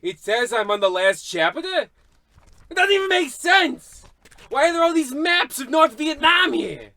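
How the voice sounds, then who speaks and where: Man playing a high-voiced, pompous book critic. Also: very animated, measured pace, sharp tone, exaggerated voice. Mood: indignant confusion. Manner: haughty, indignant, sputtering. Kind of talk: old radio comedy acting.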